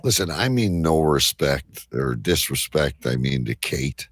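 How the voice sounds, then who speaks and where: A man's voice: rumbling low, expressive, unhurried, slightly rough, no room sound, studio quality. A man talking as a talk show host in crude, irreverent banter, brash, mocking and argumentative.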